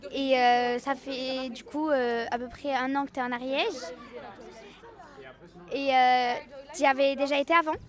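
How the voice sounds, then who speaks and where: Young woman interviewer with a high voice. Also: steady tone, unhurried, pauses often, a crowd in the background. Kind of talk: interview questions.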